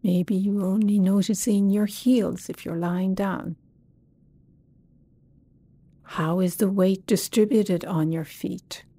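A woman leading a guided body scan meditation as a teacher, speaking gently and soothingly with long pauses. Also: medium pitch, steady tone, slightly rough, dry recording.

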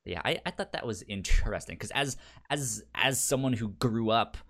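A man's voice: medium pitch, animated, normal speed, a bit bright. A man co-hosting a podcast in casual discussion, stuttering often as he repeats sounds and syllables. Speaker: podcast co-host.

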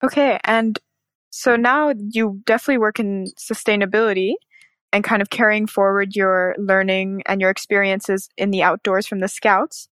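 A woman's voice: medium pitch, flat delivery, moderate pace, fairly bright tone, clear, resonant tone, no echo. A woman hosting a podcast, interviewing a guest in an articulate, polite and upbeat conversational way. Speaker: podcast host.

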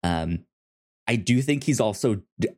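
A podcast co-host of unclear sex chatting casually about films in a halting, repetitive way with many false starts, sometimes excitable. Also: mid-range voice. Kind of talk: casual film review chat.

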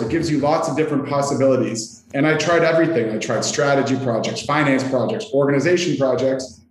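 A Canadian-accented man giving conversational answers as a sales executive guest on a podcast. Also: fairly high pitch. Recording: roomy, echoey sound.

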